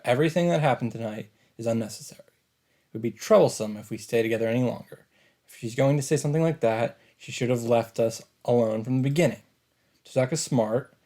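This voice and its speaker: A man's voice: medium pitch, dark tone. A man reading visual novel narration aloud as a let's play host, with casual asides.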